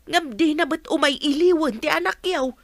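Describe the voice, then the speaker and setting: A woman's voice: high-pitched, normal speed, no room sound. An actress playing a concerned mother of a grown son in an Ilocano radio drama. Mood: worried.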